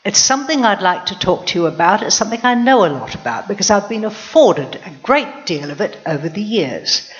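A woman's voice: medium pitch, natural voice. A woman narrating in character as a proud, wry lioness queen in a storybook tale, heard in a dull lo-fi recording. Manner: wry, lively, drawn-out delivery.

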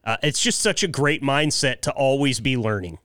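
Clear-voiced, chatty male podcast host. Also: medium pitch, expressive, normal speed, fairly bright tone, no room sound, studio quality. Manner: chatty, encouraging. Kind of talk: conversational interviewing.

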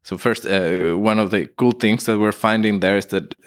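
A man from Ecuador speaks with an Ecuadorian Spanish accent in a fairly low voice. He is a middle-aged guest scientist who talks hesitantly, full of fillers and restarts. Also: steady tone, moderate pace. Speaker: guest plant pathologist. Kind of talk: conversational interview.

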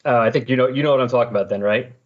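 A man's voice: medium pitch, racing, dull audio. A man hosting a hobby podcast, talking in a casual, conversational style. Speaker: podcast host. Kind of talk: casual comics podcast talk with read synopsis.

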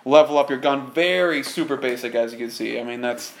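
A man's voice: medium pitch, fairly quick, gravelly. Middle-aged male co-host, childlike voice, loose rambling delivery, echoey room. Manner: rambling, self-interrupting, animated storytelling. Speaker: podcast co-host. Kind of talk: casual chat.

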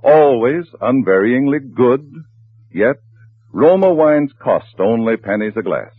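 A man reading a polished advertisement as a 1940s radio announcer, with a husky voice. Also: mid-range voice, animated, measured pace. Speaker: commercial announcer. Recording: tinny, echoey 1940s radio broadcast.

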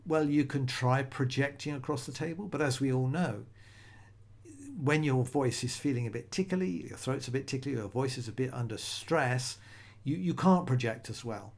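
A man's voice: medium pitch, moderate pace, gravelly. A middle-aged man hosting a solo podcast, talking in a conversational, rambling way with frequent restarts. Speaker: podcast host.

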